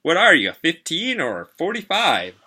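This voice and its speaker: A man's voice: lowish voice, animated, brisk, sharp tone, voice pushed up. A man voicing a friendly, teasing male paramedic in a story reading, speaking emphatically to a kid. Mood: joking.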